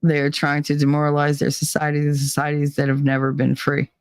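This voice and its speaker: A woman's voice: low voice, completely flat delivery, brisk, dry recording, studio quality. A middle-aged woman hosting a livestream, reading chat and ranting bluntly, mocking people with laughter and put-on voices. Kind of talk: casual opinionated livestream chat.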